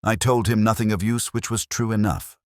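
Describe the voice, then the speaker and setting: A man reading a first-person mystery as the narrator, in a measured, deliberate and wry way. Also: on the low side, fairly even intonation, a little mellow, dry recording, studio quality.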